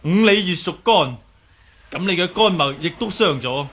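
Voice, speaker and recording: Radio drama casting: humble young Cantonese martial artist and healer, lightly high-pitched, measured courtesy. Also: male voice, expressive, a bit bright, exaggerated voice. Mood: calm, diagnostic. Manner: polite, humble, explanatory. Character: calm, learned young martial artist with healing knowledge.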